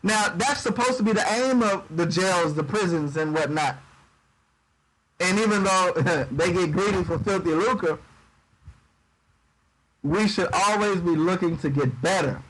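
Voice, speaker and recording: A man giving livestreamed Bible teaching in a relaxed preaching style, reading scripture aloud and riffing on it, often repeating words as he goes. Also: high voice, unhurried, long pauses, rough recording. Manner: conversational preaching, reads scripture aloud and riffs on it; uses Southern-style 'y'all', stumbles and repeats words. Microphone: livestream microphone.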